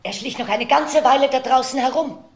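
An actress playing a frank, gossipy house cook in a crime radio drama, telling things matter-of-factly. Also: female voice, high-pitched, brisk.

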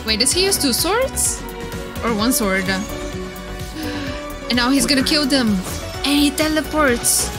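A woman streamer giving excited, casual reaction commentary in a playful, flirty, gushing way. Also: high-pitched, slow, pauses often. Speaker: streamer reacting to game trailers. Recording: loud music behind. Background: game trailer music and sound.

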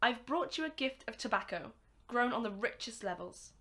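A woman's voice: a little high, expressive, normal speed, background noise, natural voice. A woman acting an earnest, formal young male cadet scientist in an amateur radio drama. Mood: polite, earnest.

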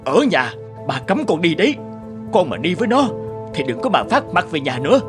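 An actor playing a worried, hot-tempered middle-aged father in an animated drama, speaking emotionally. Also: a little high, fairly quick, music in the background. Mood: angry, forbidding.

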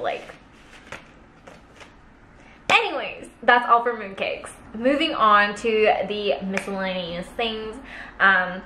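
A young woman chatting casually in a food vlog, unboxing gifts on her sofa and reading aloud haltingly while laughing at herself. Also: medium pitch, sharp tone, roomy sound, a little hiss or hum. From Canada. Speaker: food vlogger. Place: living room, seated on a sofa.

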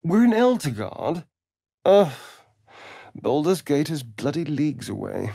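An actor playing a sly, flamboyant, sardonic vampire rogue in a video game, with a British accent and an arch, camp, teasing drawl. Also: male voice, on the high side, very animated, measured pace, vocal fry, dark tone, slightly rough, no echo, studio quality, exaggerated voice. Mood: disgusted, exasperated. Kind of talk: theatrical video game character acting.

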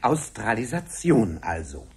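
A man playing a methodical command-center code clerk in deliberate, casual radio-play dialogue. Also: fairly low pitch, sing-song, gravelly, slight background noise, heavily acted. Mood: musing.

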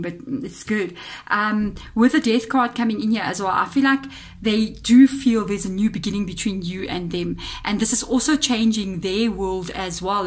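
A South African woman reads tarot at a table, chatting to her viewers and thinking aloud as she turns each card. Her voice sounds slightly off today. The tone is casual and direct. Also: medium pitch. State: voice a bit off, slightly unwell-sounding. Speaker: tarot reader. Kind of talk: casual tarot reading to camera. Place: indoors at a tabletop altar.

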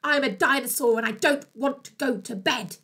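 A woman voicing a naughty young boy dinosaur in a children's story, chanting loud, defiant taunts. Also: high voice, moderate pace, slightly rough, full character voice. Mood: defiant, taunting.

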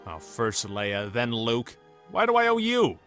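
A man's voice: mid-range voice, big pitch swings, measured pace, fairly bright tone, faint music behind. An actor playing a cocky, exasperated male smuggler captain in a comic dub.

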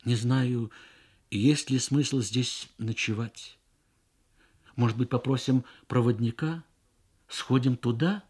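A man's voice: mid-range voice, slow, pauses often, a little mellow, slightly rough. A man voicing a grieving elderly mother in a radio story, subdued and hesitant. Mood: quiet, wistful. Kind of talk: Soviet radio story reading.

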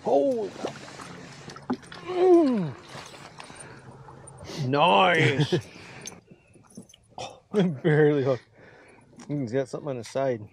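A man in his forties hosting a casual fishing vlog from a boat on a lake, chatting excitedly to the camera. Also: on the high side, very slow, creaky, long pauses, husky. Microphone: action camera on the boat. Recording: wind and water noise. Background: wind and water noise.

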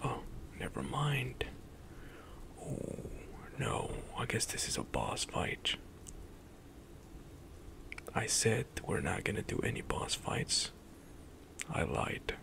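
A young man whispers slowly and softly over his gameplay, his voice full of gravelly fry. The pitch moves a lot, and he talks in a relaxed, unhurried way. It sounds like an ASMR gaming stream from a small home room. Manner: soft whispering, relaxed, trailing off mid-sentence.

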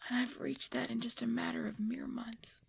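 A woman playing a tormented, devoted pregnant vampire in an intimate ASMR roleplay, halting and breathy, often dropping into a whisper. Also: fairly high pitch, level tone, slight background noise. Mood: strained, earnest. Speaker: voice actor in audio roleplay.

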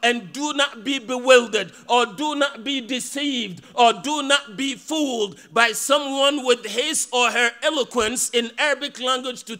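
A man's voice: very high voice, sharp tone. A man in his thirties giving a Friday sermon from a mosque pulpit into podium microphones, preaching emphatically with rhetorical questions.

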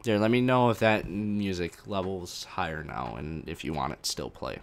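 A man's voice: low-pitched, normal speed. A young man casually answering viewer questions about his game mod on a live stream, reading chat questions aloud and rambling a little. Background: faint game music.

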